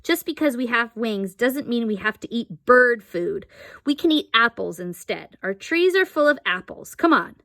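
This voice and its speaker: A woman's voice: on the high side, expressive, moderate pace, fairly bright tone, no echo. Brief: firm, practical storybook dad, put on by a woman reader.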